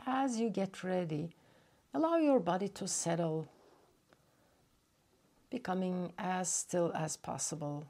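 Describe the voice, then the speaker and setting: A woman leading a guided relaxation meditation as a yoga nidra teacher, speaking gently and unhurriedly with long pauses. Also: fairly low pitch.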